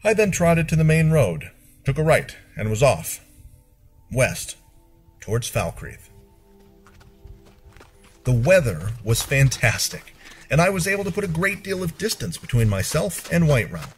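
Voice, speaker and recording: A man narrating a first-person fantasy story in a measured, expressive storyteller's delivery. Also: on the high side, faint music behind.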